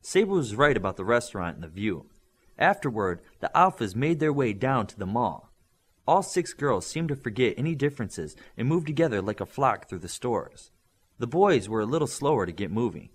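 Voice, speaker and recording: A man's voice: mid-range voice, normal speed, husky. A man reading a novel aloud as audiobook narrator, voicing the characters. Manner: expressive, varied voices. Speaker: audiobook reader.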